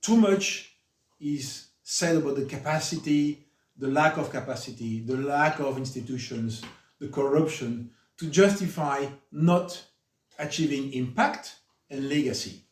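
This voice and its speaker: A middle-aged French man with a French accent, giving a formal spoken introduction at a book discussion, earnest and halting, with frequent fillers. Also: mid-range voice, animated. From France. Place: room with an audience. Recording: echoey room, mic at a distance.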